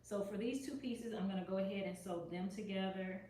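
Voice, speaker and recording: A woman hosting a casual sewing tutorial from her sewing office, chatting and thinking out loud as she works and moving nearer to and farther from the mic. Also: mid-range voice, fairly quick. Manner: chatty and relaxed, thinking aloud while working; moves around the room, voice nearer and farther from the mic. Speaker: sewing channel host. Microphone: added mic, camera across the room. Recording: roomy echo, faint background hiss.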